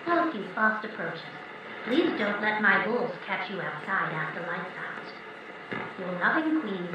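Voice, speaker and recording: A woman's voice: medium pitch, animated, measured pace, natural voice. A woman playing a sweetly threatening, mock-affectionate queen addressing her people in a theatrical audio drama. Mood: sweetly menacing. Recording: echoing, distant, muffled, noisy announcement.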